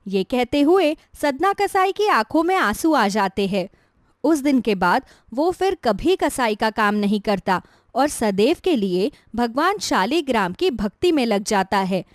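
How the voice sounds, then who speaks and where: A woman's voice: fairly high pitch, sing-song, unhurried, no echo, studio quality. A woman reading a scripted devotional tale as a storyteller for a cartoon. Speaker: storyteller, narrator.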